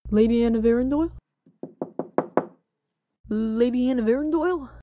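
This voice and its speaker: Young mercenary for fantasy audio drama, female actor, chirpy, cheeky, highish pitch. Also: a little slow, dry recording, phone-quality sound, lightly acted. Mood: bright, chatty. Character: chirpy, cheeky nineteen-year-old mercenary escort.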